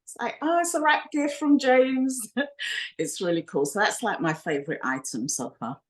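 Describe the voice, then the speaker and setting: A woman from Ghana, a makeup designer guesting on a podcast, talking in a relaxed, friendly way and growing animated when she tells stories. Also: fairly high pitch, moderate pace. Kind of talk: casual interview chat.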